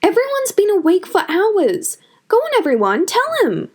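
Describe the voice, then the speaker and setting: A woman's voice: very high voice, wildly swooping pitch, moderate pace, a little mellow, voice pushed up, heavily acted. A woman voicing an earnest, insistent young village woman in a storybook narration. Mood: urgent. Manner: urgent, insistent.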